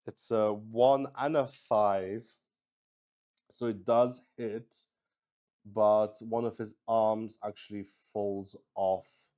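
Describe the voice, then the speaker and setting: A man's voice: on the low side, fairly even intonation, slow, a little mellow. A man narrating a solo tabletop roleplaying game casually, thinking aloud, mocking himself and swearing freely. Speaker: solo player and game master.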